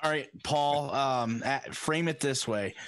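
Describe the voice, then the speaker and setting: A man hosting an informal call-in show, giving halting, step-by-step instructions. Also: medium pitch, fairly bright tone, dry recording. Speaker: talk show host coaching a caller.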